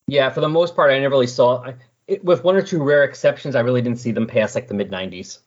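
Husky man chatting on muffled audio. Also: mid-range voice, fast talker. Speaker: podcast guest. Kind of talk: casual comic book discussion.

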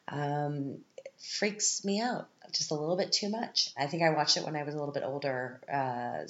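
A woman in her thirties with a stuffed-up, scratchy voice, chatting casually and rambling as a podcast host at home. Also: extremely low pitch. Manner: chatty and rambling, with frequent laughs. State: stuffed up, congested. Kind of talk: casual chat. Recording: roomy, dull lo-fi sound.

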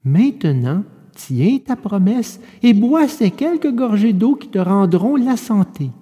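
A woman playing a patient, motherly storybook fairy, coaxing and admonishing in a soft, measured way with reverb around her. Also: mid-range voice, sing-song, husky, heavily acted. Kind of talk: audio storybook acting. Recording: long hall-like reverb.

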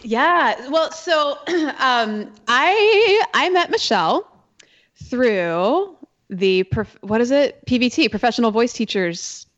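A woman with a Southern accent tells stories as a guest, her voice a little high and lively. The audio is slightly muffled and lo-fi. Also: slow. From Georgia, US. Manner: animated storyteller, self-deprecating. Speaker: voice teacher, guest. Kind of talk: casual interview storytelling.

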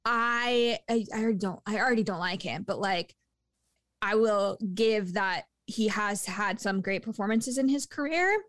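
A woman in a casual movie review chat, talking with lots of fillers and quick laughs. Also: medium pitch, a bit bright, dry recording, studio quality. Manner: chatty, laughs often, many fillers.

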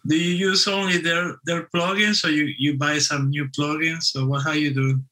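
Bright, slightly high male co-host, keen and rambling as he questions the guest. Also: fairly even intonation, moderate pace, low-quality recording. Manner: eager, rambling technical questions. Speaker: co-host interviewer. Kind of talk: casual interview talk.

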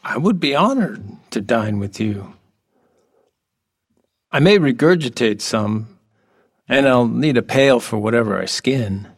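A mysterious creature played by a man in a radio play, gentle and courtly. He talks slowly and measuredly, with formal, old-fashioned politeness. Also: mid-range voice, expressive, breathy edge. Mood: courtly, grateful.

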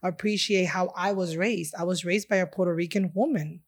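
A woman's voice: fairly low pitch, fairly even intonation, a little fast. A woman from Chicago, a guest in an interview, talking about her heritage in a heartfelt, rambling way with many fillers. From Chicago, USA. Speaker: interview guest, restaurant owner. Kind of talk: casual interview conversation.

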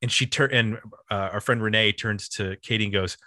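A middle-aged American man with a fairly low, clearly bright voice. He talks casually as a podcast co-host, halting and wandering off on self-deprecating tangents. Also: fairly even intonation, no room sound, clean studio sound. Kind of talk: casual album discussion.

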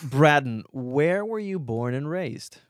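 A man interviewing a filmmaker, asking questions in a relaxed, friendly way. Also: a little high, takes it slowly, dry recording, clean studio sound. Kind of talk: casual interview.

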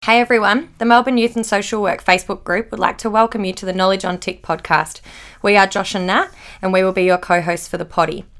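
A woman's voice: medium pitch, fairly even intonation, fast, fairly bright tone. A woman co-hosts a casual podcast, chatting freely and laughing often. Her talk is loose and informal. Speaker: podcast co-host.

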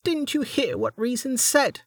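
A male narrator voicing a sharp-tongued young woman who snaps back at people. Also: very high voice, huge pitch swings, a little slow, husky, no echo, studio quality, pitched above the performer's own voice, heavily acted. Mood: snapping. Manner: snappish.

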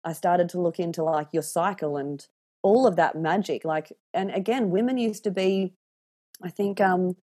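Candid, lively Australian woman in her thirties. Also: fairly low pitch, moderate pace. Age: 30s. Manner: animated, candid storyteller who swears freely and acts out voices. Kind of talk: casual podcast chat.

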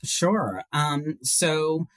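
A man's voice: fairly high pitch, animated, extremely slow, fairly bright tone. A man in his forties from Hong Kong with a mixed British-leaning international accent, a human resources executive answering interview questions thoughtfully, with many fillers. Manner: thoughtful, hesitant with many fillers, laughs easily. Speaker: HR executive guest.

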